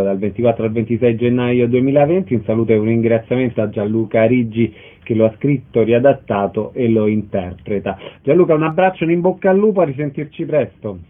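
A male radio presenter with a medium-pitched voice. He introduces guests and puts questions to them in broadcast style. The recording is narrow and thin, like an old radio feed. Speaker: radio host, interviewer. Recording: band-limited radio sound.

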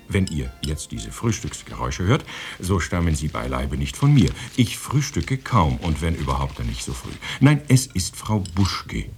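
An actor playing a wry, cosily chatty Death as a radio drama narrator, speaking in a theatrical, rambling, mock-solemn manner. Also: male voice, rumbling low, gravelly, full character voice.

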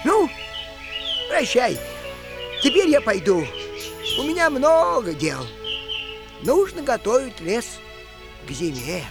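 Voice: medium pitch, sing-song, slow pace, creaky, long pauses, music in the background, heavily acted. A performer voicing a grumpy but kindly old male forest spirit in a musical audio play, drawling and sighing with many exclamations. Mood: tender.

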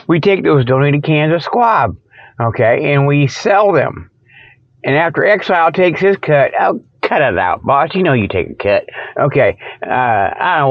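A man with a slightly high voice rambles through a comedic radio monologue in a dry, close sound. He is folksy and digressive, stammering and chuckling at his own jokes. The recording is dull and lo-fi. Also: no echo. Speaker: radio show host.

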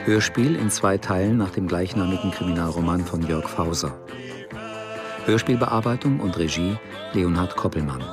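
A male radio drama announcer with a low voice. He reads scripted titles and credits over prominent music. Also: normal speed. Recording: loud music behind the voice.

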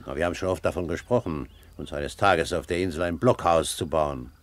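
An actor in a German radio play voicing a suave, calculating pirate captain, polished, measured and coolly authoritative. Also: male voice, rumbling low, dry recording. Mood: smooth, persuasive.

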